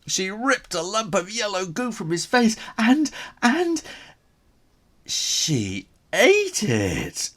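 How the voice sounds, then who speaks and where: A man's voice: sits high, wildly swooping pitch, slow, a bit bright. A man reading a children's story aloud as its author, theatrical and suspenseful, drawing out his words.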